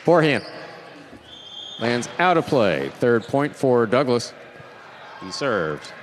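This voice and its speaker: A man commentating a match, friendly and quick to laugh, calling plays in short, brisk bursts. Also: mid-range voice, sing-song, slight background noise. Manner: friendly, laughing easily, brisk play calls. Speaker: play-by-play commentator. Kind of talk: sports commentary and banter.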